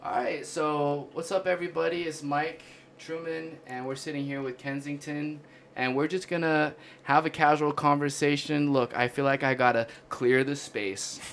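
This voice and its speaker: A young man with a bright, forceful voice hosting a laid-back podcast chat. He talks loosely and chattily over a faint hum of room ambience. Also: fairly high pitch, steady tone, normal speed, creaky. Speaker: podcast host.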